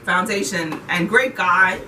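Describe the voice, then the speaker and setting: Woman guest, teacher, animated casual talker; roomy, off-mic, noisy recording. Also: on the low side, a little slow. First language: Haitian Creole. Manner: animated, repeats words for emphasis. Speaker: interviewee, ESL teacher. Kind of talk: casual interview chat. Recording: roomy echo, distant mic, noisy background.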